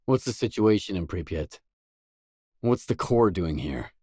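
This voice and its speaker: A man's voice: low-pitched, animated, measured pace, darkish tone, slightly rough, dry recording, clean studio sound, heavily acted. A man playing a determined, blunt stalker in a video game, speaking tersely and seriously in matter-of-fact dialogue lines. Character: determined, blunt male stalker adventurer in a grim zone. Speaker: game protagonist.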